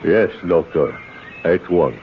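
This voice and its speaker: A man's voice: low-pitched, takes it slowly. An actor in a radio drama playing a humble, anxious native camp foreman with formal, careful, deferential speech. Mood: humble, obedient. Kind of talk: 1970s radio drama acting. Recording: muffled, noisy old radio broadcast.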